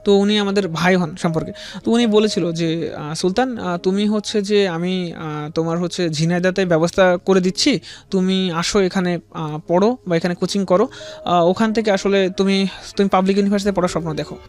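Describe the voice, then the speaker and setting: High-voiced young Bangladeshi man, halting. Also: fairly even intonation. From rural Bangladesh. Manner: halting, repetitive, deferential. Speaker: interview guest. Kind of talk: personal life story told in interview.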